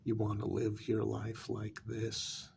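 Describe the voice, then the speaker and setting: A man playing a jaded, irritable vampire in a soft-spoken ASMR role-play, with weary sarcasm and a gravelly, half-whispered voice. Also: lowish voice, monotone, a little hiss or hum, full character voice. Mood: bitter, sardonic. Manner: slow, deliberate, weary sarcasm. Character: jaded, irritable male vampire hiding a hideous face.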